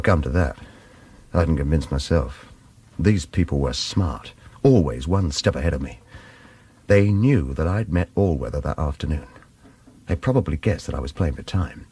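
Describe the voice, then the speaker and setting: A man's voice: low-pitched, darkish tone, breathy edge, exaggerated voice. An actor with a British accent playing a dogged, wry man in trouble in a radio thriller, speaking in a clipped, insistent way. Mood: grim, reflective. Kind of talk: radio drama acting with first-person narration.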